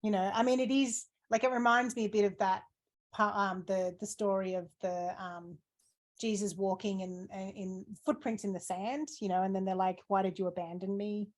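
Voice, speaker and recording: A woman's voice: mid-range voice, steady tone, normal speed. Heartfelt woman in a casual chat. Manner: warm, emotional, thoughtful. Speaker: interviewer.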